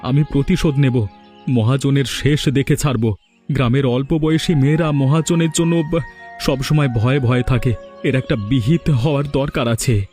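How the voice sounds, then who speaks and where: A man's voice: mid-range voice, moderate pace, warm tone, soft background music, lightly acted. A man playing a solemn, earnest young village man in a cartoon drama, grateful yet bent on revenge. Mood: vengeful.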